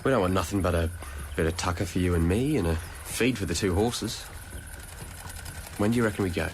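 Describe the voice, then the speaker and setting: An actor with an Australian accent playing a blunt young stablehand in a radio drama, talking in gruff bush slang. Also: male voice, low-pitched, fairly quick, close to an everyday voice. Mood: matey, resigned. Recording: faintly noisy old radio recording.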